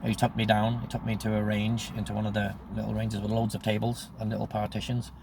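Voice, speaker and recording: Low, gravelly Northern Irish man of sixty. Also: completely flat delivery, fast talker, background noise. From Northern Ireland. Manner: rambling, acts out remembered dialogue. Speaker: storyteller recounting his own life. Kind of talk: casual anecdotal monologue.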